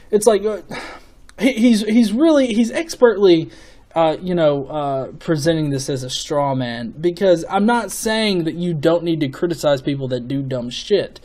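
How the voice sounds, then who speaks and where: A man giving off-the-cuff opinion commentary as a video host, in a casual, sweary manner with halting, repeated words. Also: fairly high pitch, a little mellow. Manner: casual, profanity-laden.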